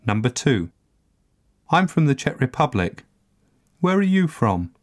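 A man narrating a scripted language course recording, enunciating each word carefully and deliberately. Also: mid-range voice, sing-song. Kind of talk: scripted language-lesson reading.

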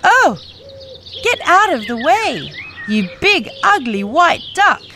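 A woman's voice: high-pitched, sing-song, slow, noisy background, voice pushed up, heavily acted. A woman voicing a group of mean farm ducks who taunt and mock. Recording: music playing behind.

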